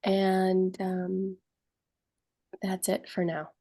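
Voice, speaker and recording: Sick woman from puerto rico, mellow monotone, brief intro on a video call. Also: mid-range voice, drawn-out words. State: a little sick. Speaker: architect.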